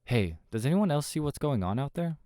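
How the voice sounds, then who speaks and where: A man's voice: fairly high pitch, very animated, a little fast, warm tone, dry recording, clean studio sound, pitched above the performer's own voice. A man voicing a frightened young waiter in a visual novel, nervous and prone to sarcasm. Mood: exasperated. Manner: nervous, stammering when serving.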